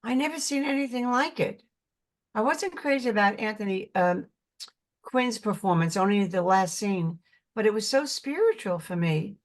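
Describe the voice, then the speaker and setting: An older woman with a New York accent speaks in a mid-range voice, earnest and halting. She circles back over her words and restarts as she reaches for what she means. Her tone is plainly conversational. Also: medium pitch, animated. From Brooklyn, New York. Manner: earnest, halting, repeats words while searching. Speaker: actress guest. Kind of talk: casual interview chat.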